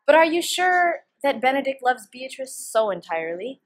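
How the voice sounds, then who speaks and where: A teenage girl playing a deferential gentlewoman attendant who joins in a plot, in a student Shakespeare performance. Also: high voice, very animated, normal speed. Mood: earnest, deferential. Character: Ursula, young gentlewoman attendant, deferential co-conspirator.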